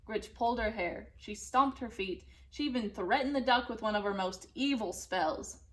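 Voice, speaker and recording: A woman narrating a children's storybook in an expressive, deliberate and dramatic way. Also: fairly high pitch. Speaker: storybook reader.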